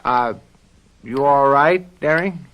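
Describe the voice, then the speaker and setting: An actor playing a brisk, businesslike country game warden in an old-time radio drama, plain-spoken and matter-of-fact. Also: male voice, mid-range voice, highs cut off, natural voice. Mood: businesslike, impatient.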